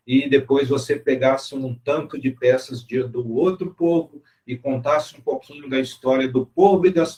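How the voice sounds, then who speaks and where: A man's voice: mid-range voice, a little mellow, rough recording. A man hosting a video-call interview, courteous and formal, closing with blessings. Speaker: interview host.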